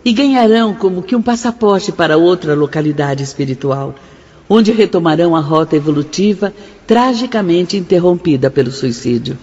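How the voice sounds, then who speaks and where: An actress with a Brazilian accent playing a devout, solemn spirit sister in a radio drama, with measured, fervent declamation. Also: female voice, fairly low pitch, lively intonation, husky. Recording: dull radio drama mix under loud music. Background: music.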